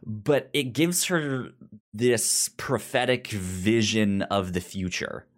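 A man co-hosting a podcast in a casual discussion, halting and often stammering over the starts of words. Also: lowish voice. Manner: halting, frequently stammers and repeats word starts. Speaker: podcast co-host. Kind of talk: casual comic book discussion.

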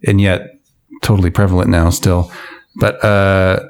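A man's voice: deep voice, measured pace. A man hosting a casual group chat, joking and steering the talk. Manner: lively, joking, leads the talk. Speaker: podcast host.

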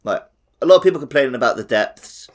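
A man co-hosting a gaming podcast, giving his opinions in an animated, casual way. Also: medium pitch, fast. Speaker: podcast co-host. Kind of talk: casual opinionated game chat.

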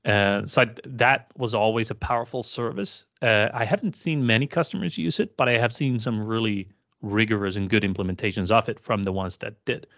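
A man's voice: on the low side, brisk, fairly bright tone, muffled recording. A man co-hosting a tech podcast, talking casually and hesitantly with lots of restarts. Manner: hesitant, many fillers and restarts. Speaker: podcast co-host.

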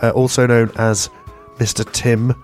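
Hoarse radio host, jokey, self-mocking, faint music behind. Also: male voice, mid-range voice, flat delivery, measured pace, darkish tone. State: hoarse, lost voice. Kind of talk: casual radio chat.

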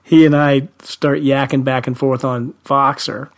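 Man, 50s, young-sounding voice, friendly, laughs a lot, self-deprecating, mid pitch. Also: little pitch movement, measured pace. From Central Ohio, USA. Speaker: high school teacher, guest. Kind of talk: podcast interview.

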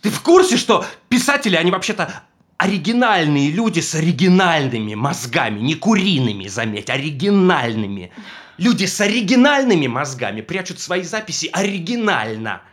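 Актёр играет ревнивого, жестокого мужа, который издевается над женой и то шипит, то срывается на крик. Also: male voice, on the high side, big pitch swings, brisk. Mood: taunting, raging. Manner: mocking, swings from menacing whispers to shouting. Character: jealous abusive husband tormenting his wife. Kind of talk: stage drama performance.

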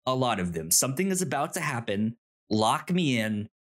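A man co-hosting a podcast, casually retelling scenes in a halting way, repeating words and acting out dialogue. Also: mid-range voice, very animated. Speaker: podcast co-host.

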